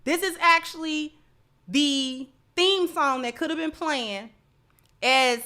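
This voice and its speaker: Woman, livestream host, high-pitched, sharp bright tone, emphatic, repetitive phrasing, social commentary. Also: slow.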